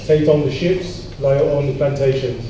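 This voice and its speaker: A man's voice: medium pitch, steady tone, moderate pace, warm tone. A man talking informally in a punchy, wry, challenging way, full of rhetorical questions. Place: large echoey room at a public event. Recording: very echoey hall, distant mic, clear noise.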